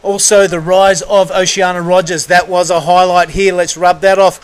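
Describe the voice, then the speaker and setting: A man's voice: high-pitched, little pitch movement, moderate pace, clear, resonant tone. A man hosting live surf coverage in a casual, matey, enthusiastic way. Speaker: surf broadcast commentator and host. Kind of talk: casual live sports commentary.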